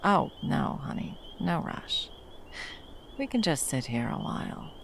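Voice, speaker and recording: A woman playing a battle-worn monster hunter, gruff but tenderly protective, in an intimate ASMR roleplay with soft teasing and drops into whispers. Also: low-pitched, big pitch swings, measured pace, a little hiss or hum, heavily acted. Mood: caring, relaxed.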